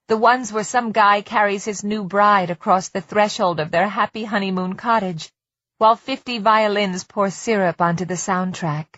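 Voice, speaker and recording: Female audiobook narrator for scripted fiction, medium pitch, very dry close sound, slightly dull recording. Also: no echo.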